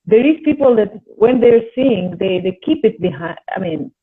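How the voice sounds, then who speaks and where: A woman's voice: mid-range voice, expressive, moderate pace, breathy edge. A woman from Italy with an Italian accent, a singer and voice teacher talking earnestly in a casual conversation, her English learned as a second language. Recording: tinny phone-like line.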